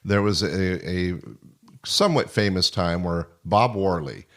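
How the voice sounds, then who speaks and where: Podcast host: older American male, lowish pitch, casual conversational reminiscing. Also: a little slow, a bit bright.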